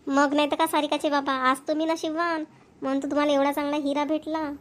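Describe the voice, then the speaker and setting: A woman voicing a proud, sharp-tongued rural wife in a cartoon, bickering and boastful. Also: high voice, little pitch movement, fast, natural voice. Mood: teasing. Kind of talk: cartoon voice acting.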